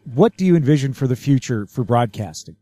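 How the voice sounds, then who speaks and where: A man's voice: medium pitch, lively intonation, fairly quick, dark tone, no echo. A man hosting a radio interview in a polished broadcast style. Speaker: radio show host, interviewer.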